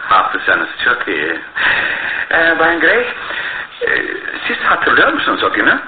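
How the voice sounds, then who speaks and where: Through a narrow, echoing radio recording comes a shrewd, courteous detective. His pitch swings dramatically from low murmurs to high exclamations, and he pads his probing questions with small thoughtful hums. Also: wildly swooping pitch, takes it slowly, exaggerated voice. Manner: hesitant interjections, polite probing questions. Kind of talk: Turkish radio theatre acting. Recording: muffled phone-like noisy old radio, roomy echo.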